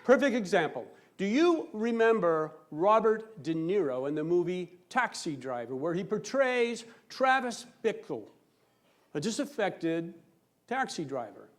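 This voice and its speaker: High-pitched man giving a theatrical comic speech. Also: very animated. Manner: theatrical, mock-serious boasting with dramatic pauses. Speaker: speech contest competitor telling a comic tall tale. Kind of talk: humorous storytelling speech to an audience. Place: live on stage before a laughing audience.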